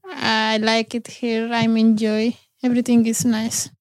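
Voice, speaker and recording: Young Ethiopian-born woman, higher-pitched, shy, simple learner English, dry recording. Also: completely flat delivery, unhurried, no room sound. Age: young adult. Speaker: youth group member. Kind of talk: casual chat.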